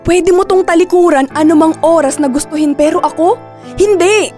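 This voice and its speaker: A woman's voice: extremely high pitch, normal speed, a little mellow, soft background music. A woman with a Filipino accent playing a desperate, stubborn young woman in a radio drama. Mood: desperate, heated. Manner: insistent, pleading, defensive.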